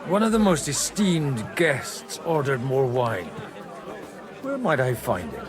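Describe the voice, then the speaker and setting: A cocky young medieval charmer, lively swoops. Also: male voice, medium pitch, slow pace, a crowd in the background. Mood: sly, persuasive.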